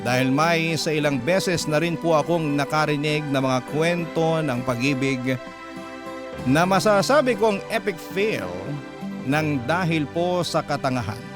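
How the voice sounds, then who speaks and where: A male radio storyteller with a Manila Tagalog accent reads listeners' letters. His voice sits somewhat high, with an earnest, confiding delivery. Music plays clearly beneath him. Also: a little slow. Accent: Filipino, Manila Tagalog. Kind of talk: dramatic narration of listeners' love letters. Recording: clear music bed behind.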